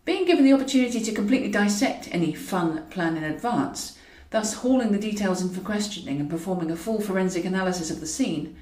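A woman narrates a novel in a wry storytelling manner. Her voice sits at a middling pitch. The recording is roomy and echoing, with her some way from the microphone. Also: darkish tone. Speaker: author reading own audiobook. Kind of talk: scripted fiction narration. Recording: roomy echo, distant from mic.

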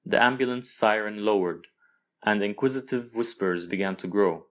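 A man with a fairly low voice reads literary fiction aloud. He is an author from Lebanon, narrating his own scripted story.

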